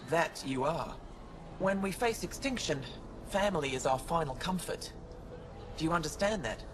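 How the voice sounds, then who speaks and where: Man voicing brusque older alien scientist, lively pitch. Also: normal speed, close to an everyday voice. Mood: curt, aloof. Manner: curt, formal, precise. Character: brusque older male alien biologist, a proud grandparent. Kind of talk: video game voice acting. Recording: clearly noisy game ambience.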